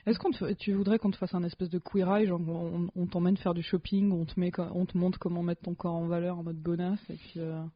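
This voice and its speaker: A woman's voice: on the low side, level tone, brisk, hardly any pauses, dry recording. A woman co-hosting a podcast in casual chat, chatty and jokey with trailing hesitations. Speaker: podcast co-host. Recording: dull lo-fi podcast sound.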